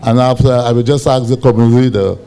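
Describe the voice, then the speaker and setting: A man with a Grenadian accent and a big voice, asking questions at a town hall in a halting, comradely way. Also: mid-range voice, fairly even intonation, dark tone, smooth. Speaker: audience questioner.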